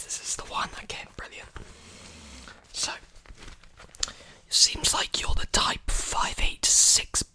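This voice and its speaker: A man in his early twenties whispering softly and breathily in an ASMR roleplay, soothing and repeating short phrases, very close to the microphone. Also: sits high. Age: early 20s. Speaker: ASMR creator playing a robot repair technician. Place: small indoor room. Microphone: desktop condenser mic very close to the mouth.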